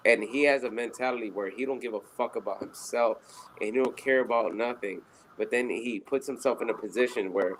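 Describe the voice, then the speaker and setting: A man's voice: medium pitch, slight background noise, rough recording. A man with a Puerto Rican accent, co-hosting a podcast in casual, rambling, profane chat. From Puerto Rico. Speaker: podcast co-host.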